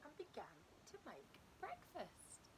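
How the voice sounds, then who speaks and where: A middle-aged woman reading a children's story aloud like a teacher, with deliberate, emphatic storytelling for young children. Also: sits high, wildly swooping pitch, noisy background. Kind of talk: expressive picture-book read-aloud.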